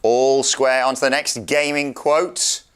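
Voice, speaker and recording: A man's voice: mid-range voice, level tone, slow pace, a bit bright, clean tone. A man hosting a quiz in a theatrical, showman-like game show style. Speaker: quiz host.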